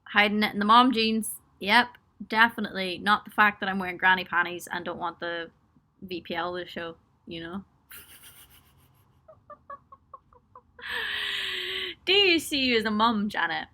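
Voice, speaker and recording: A woman's voice: mid-range voice, expressive, unhurried, long pauses, sharp tone. A young woman chatting casually on a webcam livestream from a small home studio, answering viewer questions with lots of ums, laughs and self-mocking jokes. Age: young adult. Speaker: musician hosting a livestream for supporters.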